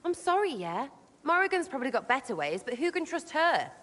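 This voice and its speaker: Brash, bright-voiced English elf rogue. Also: female voice, sits high, very animated, brisk, in a large hall. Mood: defensive, uneasy. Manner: slangy, choppy, blunt, cheeky. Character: brash, irreverent young female elf rogue, playful and skeptical. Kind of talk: video game character acting.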